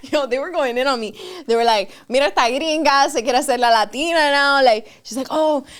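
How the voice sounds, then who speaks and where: Bright-pitched young woman mixing Spanish in. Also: a little fast. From Miami, United States. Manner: animated, mixes Spanish into English. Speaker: podcast host. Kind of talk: casual interview chat.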